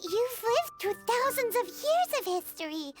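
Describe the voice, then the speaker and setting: A woman voicing a tiny, chirpy, pushy companion creature in a game, talking in a high, squeaky and insistent way. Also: very animated, unhurried, exaggerated voice. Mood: earnest. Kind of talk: game voice acting. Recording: faint game music behind.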